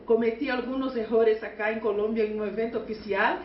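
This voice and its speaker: A Brazilian woman, a union delegate with Portuguese as her first language, gives an earnest conference speech in halting Spanish with a Portuguese accent. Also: fairly high pitch, fairly even intonation, slight background noise. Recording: echoey, distant, muffled hall recording.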